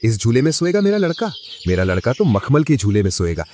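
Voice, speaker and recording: A man playing a rich, snobbish, scornful elder brother in an animated moral story, speaking haughtily. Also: mid-range voice, fairly quick, a little hiss or hum, close to an everyday voice. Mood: scornful, angry.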